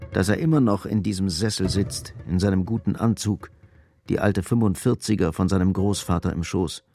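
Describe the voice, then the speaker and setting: A man's voice: on the low side, gravelly. A man narrating a scripted radio drama as its storyteller.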